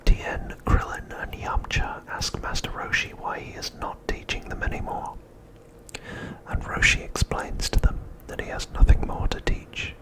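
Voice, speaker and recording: A man narrating an ASMR recap in a breathy, unvoiced whisper, with deliberate phrasing broken by short pauses. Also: low-quality recording. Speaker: ASMR host.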